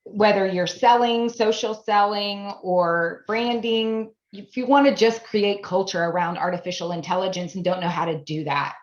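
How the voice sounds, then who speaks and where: A woman's voice: medium pitch, moderate pace, few pauses. A woman in her forties, a business founder who learned German as a first language, answering interview questions in an earnest way, building her points through repeated phrases. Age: 40s. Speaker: guest, AI education business founder. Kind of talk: interview conversation. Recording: echoey room, dull lo-fi sound.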